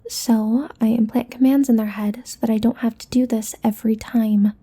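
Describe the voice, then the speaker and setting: A woman's voice: on the high side, steady tone, moderate pace, mellow, husky. A woman playing a possessive, teasing succubus demon in an ASMR roleplay, often dropping into a whisper. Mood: smug, possessive.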